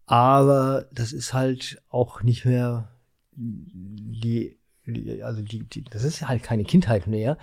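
A man's voice: mid-range voice, steady tone, slow pace, vocal fry, a little mellow, husky, no echo, clean studio sound. A man in his sixties from Germany's Ruhr area, chatting as an interview guest in a colloquial, rambling way with slightly impaired articulation. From Germany, Ruhr area (Essen). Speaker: podcast guest, real estate market analyst. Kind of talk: casual interview chat.

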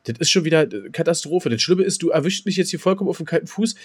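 Fast-talking man rambling through casual anecdotes. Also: a little high, expressive, slightly rough. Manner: rambling, colloquial storytelling. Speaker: podcast co-host.

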